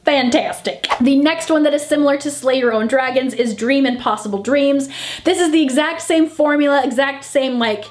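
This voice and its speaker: A woman's voice: high voice, moderate pace. A woman vlogger narrates a casual hands-on review. She is chatty and effusive, often doubling up words to stress her point, and talks in an informal, conversational way. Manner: gushing, emphatic, repeats words for emphasis. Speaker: nail polish reviewer and vlogger.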